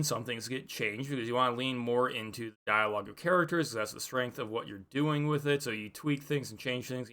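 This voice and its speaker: Man, mid-pitched, sharp bright tone, casual reviewer monologue, halting, rambling, opinionated but hedging. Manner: rambling, halting, thinking aloud. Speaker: book reviewer.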